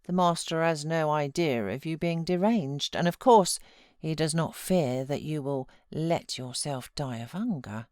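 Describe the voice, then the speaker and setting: A woman voicing a sensible, unsympathetic female servant who answers her mistress in a measured, matter-of-fact way. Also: sits low, darkish tone, dry recording, clean studio sound. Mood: placating, firm.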